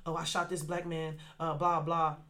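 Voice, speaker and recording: An American woman co-hosting a podcast, chatting casually with animated, slangy, opinionated talk. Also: sits low, moderate pace. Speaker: podcast co-host.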